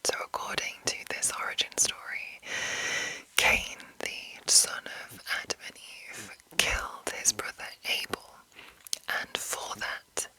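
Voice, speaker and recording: A woman whispering in a soft, breathy, unvoiced voice as an ASMR host, rambling gently and reading passages aloud. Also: slow, rough recording. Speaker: ASMR host introducing a roleplaying game.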